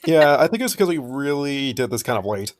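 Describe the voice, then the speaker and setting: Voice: medium pitch, expressive, fairly quick, a little hiss or hum. A host in casual group chat, talkative and lecturing with jokes. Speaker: podcast host.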